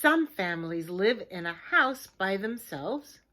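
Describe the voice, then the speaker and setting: A woman reading a children's picture book aloud like a teacher, in a deliberate, expressive way, with hushed chatty asides to her listeners. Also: mid-range voice, a little hiss or hum. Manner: slow, expressive, simple deliberate phrasing.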